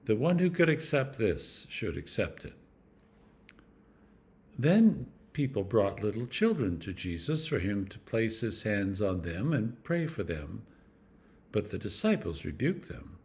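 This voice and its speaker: A clergyman reading scripture for a meditation series, in measured phrases with emphatic stresses. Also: mid-range voice, darkish tone.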